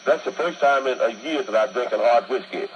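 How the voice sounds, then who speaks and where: A man's voice: mid-range voice, a little fast, highs cut off. An older man, a radio show guest, telling a rambling story in long-winded, grandiloquent wording. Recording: noisy radio broadcast.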